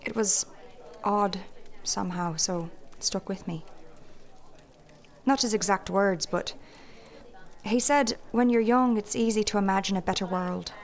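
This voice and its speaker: A woman plays a shrewd female innkeeper in a fantasy city. She is plain-spoken and folksy, with a mid-pitched voice. The delivery is thoughtful, candid audio drama acting. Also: measured pace, lightly acted. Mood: reflective, sombre.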